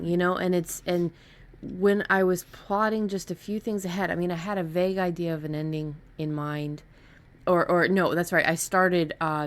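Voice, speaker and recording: A woman with a notably low voice chats casually as a podcast co-host and writer. She talks in a halting way, working out her thoughts aloud. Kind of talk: casual chat about writing.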